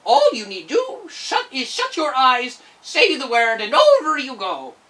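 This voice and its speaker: Storybook role: a three-inch magical ferryman, cocky and expressive, performed by a woman. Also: sits high, measured pace, far from the mic, pitched above the performer's own voice, full character voice, poor audio. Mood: sly. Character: tiny cocky magical ferryman, a little man.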